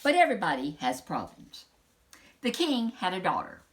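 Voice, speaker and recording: A woman reading a children's story aloud in an expressive storytelling style, with dramatic emphasis and casual asides. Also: lowish voice, moderate pace, slight background noise.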